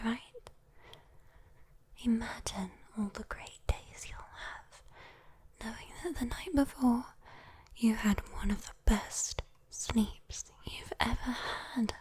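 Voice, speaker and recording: A British woman playing a sweet, teasing, possessive female demon in a breathy, intimate ASMR roleplay whisper. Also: fairly high pitch, a little mellow.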